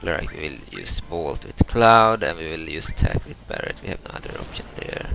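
A man in his thirties from Sweden, a native Swedish speaker, giving casual commentary over a video game, halting and restarting, explaining each move. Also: rumbling low, sing-song, creaky, sharp tone, slight background noise. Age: 30s. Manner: halting, repeats words and restarts, explains moves step by step. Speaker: gameplay commentator. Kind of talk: casual let's-play commentary.

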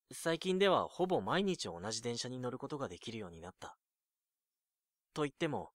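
An actor playing a lovesick, awkward nineteen-year-old in an audio drama, introspective and self-deprecating. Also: male voice, mid-range voice, no echo, clean studio sound, natural voice. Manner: introspective monologue, flustered in dialogue. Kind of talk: audio drama acting with first-person narration.

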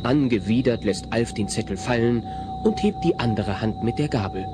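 A man's voice: medium pitch, normal speed, music in the background, close to an everyday voice. A man playing the narrator of a comedy radio play, telling the story with wry suspense.

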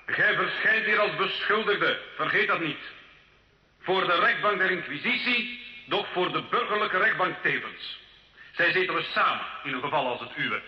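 An actor playing a stern, dignified bishop who presides as an inquisition judge in a radio play, formal and admonishing. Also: male voice, high-pitched, animated, moderate pace. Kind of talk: old Dutch-language radio play acting. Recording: dull, echoey old radio recording.

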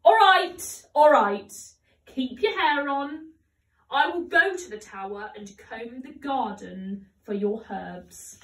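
A woman's voice: sits high, expressive, takes it slowly, full character voice. A woman voicing a cheerful but easily flustered barber man from a children's storybook, with a dramatic manner. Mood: reluctant, exasperated. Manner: dramatic, stammering when scared. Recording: roomy echo, distant microphone.

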